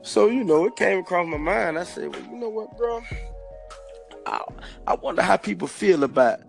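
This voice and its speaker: A man in his fifties co-hosting a podcast, hoarse from a cold, laid-back and quick to laugh. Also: high-pitched, expressive, soft background music. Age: 50s. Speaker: podcast co-host. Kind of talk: casual podcast banter.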